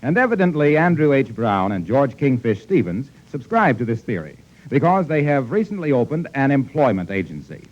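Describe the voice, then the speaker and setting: A man's voice: medium pitch, sing-song, moderate pace, few pauses. An American man announcing and reading ads on 1940s radio with a polished, emphatic delivery. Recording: muffled 1940s radio recording, faint noise, clicks.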